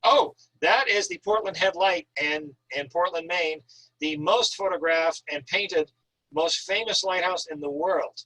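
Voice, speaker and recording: A man's voice: a little high, unhurried, pushed and bright, no echo, poor audio. A man who works as an author, chatting casually and enthusiastically about writing in an effusive, emphatic, talkative way. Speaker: author, guest at an online reading.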